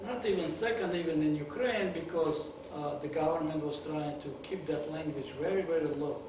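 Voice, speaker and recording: A man's voice: on the high side, normal speed. Man, echoey hall. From Soviet Union, grew up in Kyiv. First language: Russian. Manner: joking, laughing, broken English. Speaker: guest speaker at a benefit. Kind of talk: informal talk to an audience. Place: lit stage in an arts center room. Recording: echoey hall, distant mic, noisy.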